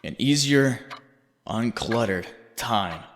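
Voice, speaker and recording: A man playing a haunting inner voice, narrating in the second person with deliberate, accusing, dramatic delivery. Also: medium pitch, expressive, fairly bright tone. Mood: coaxing, ominous. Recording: long reverb, faint background noise.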